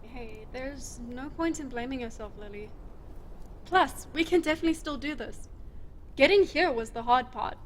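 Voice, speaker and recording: A woman's voice: high voice, normal speed, slight background noise, lightly acted. A woman playing a cocky, reckless wasteland survivor in an audio drama, breezy, teasing and nonchalant. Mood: insistent.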